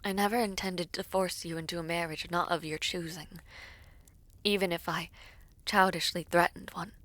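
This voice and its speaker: A woman playing a devoted princess in an audio roleplay, tender and formal, with measured, hesitant phrasing. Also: on the low side, steady tone, fairly bright tone, dry recording, lightly acted. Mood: tender, earnest. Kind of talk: intimate audio roleplay acting.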